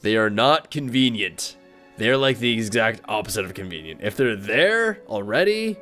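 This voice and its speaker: A man's voice: lowish voice, big pitch swings, moderate pace, a bit bright, soft background music. A man giving casual, rambling video game commentary in a wry, self-deprecating manner. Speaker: video game let's player.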